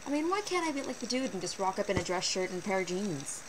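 Chatty teen girl on a noisy webcam. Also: quick pace. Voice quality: fairly low voice for a woman. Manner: chatty, animated, sarcastic asides. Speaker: vlogger. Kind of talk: casual webcam vlog. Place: small bedroom, indoors. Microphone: built-in webcam mic. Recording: noisy low-quality webcam recording.

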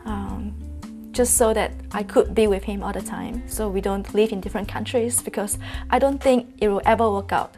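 A young woman from Singapore in her twenties, speaking sincerely in a documentary interview, with frequent pauses and fillers. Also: mid-range voice, fairly even intonation, a little mellow, faint music behind. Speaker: interviewee, photographer and reporter. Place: room with bookshelves, indoors.

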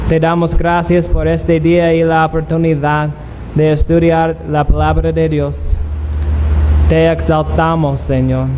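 Man preaching, slow and halting, fairly high voice, faint hiss behind. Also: warm tone, poor audio. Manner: slow, halting, pausing for translation. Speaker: guest preacher. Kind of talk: sermon through an interpreter. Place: church sanctuary.

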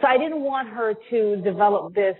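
A woman's voice: mid-range voice, animated, moderate pace, slight background noise. An American woman in her forties chatting casually as a guest in a podcast interview. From United States. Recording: thin, phone-like line.

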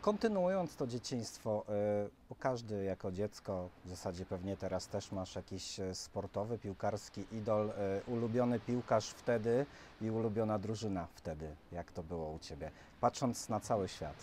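Low-voiced male interviewer, some background noise. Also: lively intonation, normal speed, dark tone. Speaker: sports interviewer. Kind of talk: casual interview questions. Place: outdoors on a beach, off camera. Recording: noticeable outdoor ambient noise.

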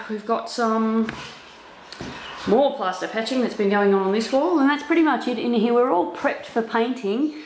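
A woman talks through the rooms in a chatty, upbeat way, full of little ums as she goes. Her voice sits at an ordinary middle pitch. It bounces around bare, empty rooms, and a faint hiss sits under the sound of the handheld camera. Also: echoey room, poor audio. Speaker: property investor renovating a house. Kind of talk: walkthrough vlog narration. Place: empty house under renovation, indoors.

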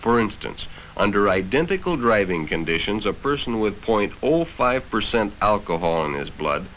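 A man's voice: low-pitched, fairly bright tone, a little hiss or hum. An American man narrating a 1960s educational film from a script in a stern, lecturing, condescending manner. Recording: dull vintage film soundtrack with music.

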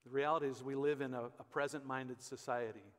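A man's voice: medium pitch, steady tone, quick pace. An American man in his fifties, a pastor preaching conversationally and emphatically from the stage of a large church auditorium. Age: 50s. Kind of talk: conversational sermon. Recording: echoey hall with long reverb.

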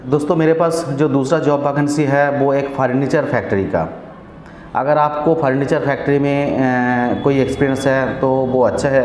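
A man in his forties talks straight to camera from a small office, on a clip-on mic. He speaks in a flat, level tone, repeating himself and filling the gaps with long "aa" sounds. The room is echoey, with a faint hum behind him. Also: mid-range voice. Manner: steady, repetitive, with many "aa" fillers and restarts. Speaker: visa agent and channel host. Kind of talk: casual explainer to camera. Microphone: clip-on lapel mic.

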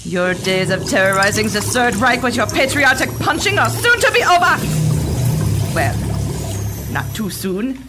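A woman's voice: a little high, very animated, unhurried, over loud music. A woman playing a sadistic, theatrical Nazi doctor who gloats and taunts in a comic radio drama. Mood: gloating.

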